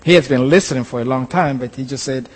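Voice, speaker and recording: A man's voice: medium pitch, quick pace, breathy edge, in a large hall. A man from Nigeria with a Nigerian accent, a pastor telling a story in a sermon, hesitant, with many fillers and restarts. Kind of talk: sermon testimony.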